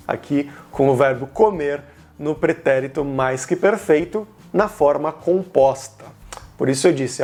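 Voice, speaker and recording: A man's voice: a little high, a little mellow. A man with a Brazilian accent giving an informal language lesson, explaining didactically and stressing key words. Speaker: language teacher, video host. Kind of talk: informal grammar lesson to camera.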